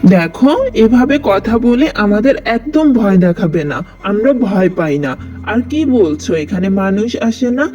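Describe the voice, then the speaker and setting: A man's voice: sits high, sing-song, a little slow, music in the background. A man voicing a bold, curious young boy in a cartoon, defiant and matter-of-fact, on a dull lo-fi track. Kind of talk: children's cartoon acting.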